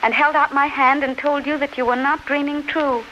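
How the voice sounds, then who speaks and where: A woman's voice: high-pitched, fairly even intonation, moderate pace, bright, forceful tone. A woman in a 1930s radio drama playing a gracious, tender English duchess, refined, polite and full of feeling. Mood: anguished, resolute. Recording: muffled, noisy 1930s radio recording.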